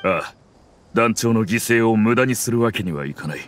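A man's voice: lowish voice, lively intonation, normal speed, slight background noise, lightly acted. An actor voicing a bitter, resolute male mercenary veteran in a game dub, recounting events earnestly.

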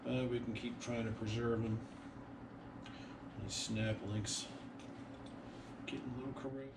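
A middle-aged man casually narrating boat maintenance in his garage and woodshop, relaxed and thinking aloud with a few pauses, picked up by a camera mic a little way off. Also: mid-range voice, very noisy. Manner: relaxed, explains as he works, some hesitations. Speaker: boat builder and vlogger.

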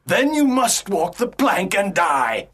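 A woman's voice: fairly low pitch, very animated, measured pace, fairly bright tone, slightly rough, dry recording, voice pushed down, heavily acted. A woman voicing a cruel, gloating male pirate captain in storybook dialogue, with a taunting, theatrical manner. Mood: angry threat.

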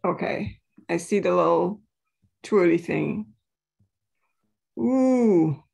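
Slow, gravelly woman presenting. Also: on the low side, expressive, darkish tone. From Trinidad and Tobago. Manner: chatty, informal asides to the audience. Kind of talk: casual live presentation to a group.

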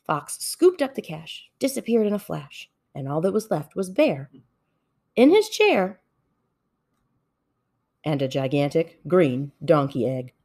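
Low-pitched, very lively woman reading a storybook. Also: measured pace, pauses often. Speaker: storybook reader. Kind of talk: expressive children's story read-aloud.

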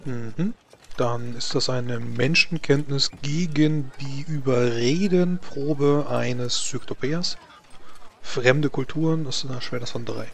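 Male game master, mid-pitched, casual tabletop delivery, light noise behind the voice. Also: slow pace, vocal fry.

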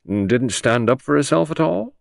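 Audiobook role: canny, gruff male detective, acted by a narrator, creaky, confiding and probing, very close dry sound. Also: medium pitch, expressive, moderate pace, no echo, pitched above the performer's own voice. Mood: confidential probing. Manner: easy, confidential, probing, grunting at times. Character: shrewd, probing male police inspector, gruff yet confidential. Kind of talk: audiobook character reading.

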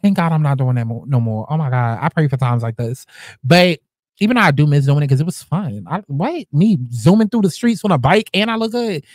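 A young woman talks, reacting to clips in a brash, joking way and swearing freely. Her pitch leaps around a lot as she talks. The recording is dry and close, with no room sound. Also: expressive, a little fast, darkish tone, dry recording. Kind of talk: casual reaction commentary.

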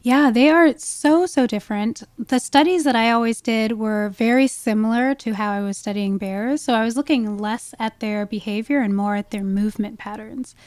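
A woman's voice: fairly high pitch, normal speed, hardly any pauses, darkish tone, no room sound, studio quality. A woman speaking as a guest expert in a conversational interview, explaining with enthusiasm. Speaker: guest expert, wildlife ecologist.

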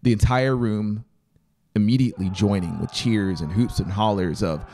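A man in his thirties narrates dramatic fiction in a measured, expressive way, close to the mic. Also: fairly low pitch, slight background noise. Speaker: audiobook narrator. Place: small brick-walled home studio. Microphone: close handheld-style mic on boom stand. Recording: music playing behind the voice. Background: music.

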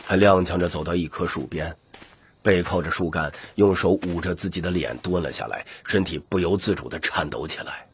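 A man's voice: low-pitched, brisk, slight background noise. A man reading dramatic scripted narration as an audiobook narrator.

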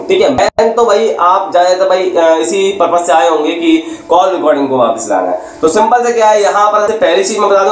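A man giving an informal step-by-step tutorial, repeating himself and often adding check-in tags. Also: high-pitched. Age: 20s. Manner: repetitive, with frequent check-in tags. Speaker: tech tutorial YouTuber. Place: small curtained room indoors. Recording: echoey room with faint background noise.

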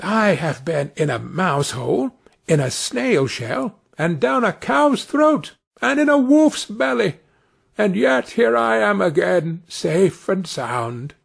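A man voicing a tiny, cheeky boy hero in a storybook, speaking in a high, eager, sing-song voice. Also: sing-song, slow pace, gravelly, husky, heavily acted. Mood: cheerful.

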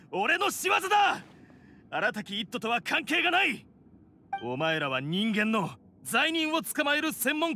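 Proud, intense young oni. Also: male voice, very high-pitched, bright, forceful tone, slight background noise, full character voice. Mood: desperate. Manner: intense, curt, commanding. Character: stern, proud young blue oni man, self-sacrificing, desperate. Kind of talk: Japanese anime game drama acting. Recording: prominent music behind the voice. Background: dramatic game music.

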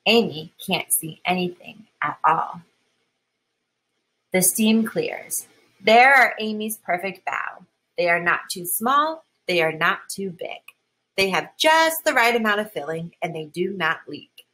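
A woman reading a children's picture book aloud in an expressive, deliberate way, leaning on key words. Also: on the low side, rough recording. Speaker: storybook reader.

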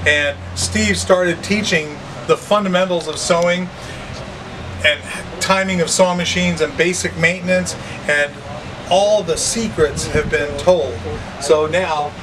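A man's voice: fairly high pitch, a little slow. A middle-aged man hosting a casual walking tour of a workshop, introducing people with showman-like enthusiasm. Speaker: vlog host touring a shop. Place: indoor workshop and warehouse. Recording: distant voice with room noise.

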